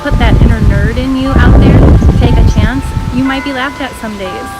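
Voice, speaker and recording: A woman teacher hosting her own vlog, speaking to other teachers in a casual, encouraging way with a booming voice. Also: normal speed, over loud music, rough recording. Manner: upbeat, encouraging, talks straight to the viewer.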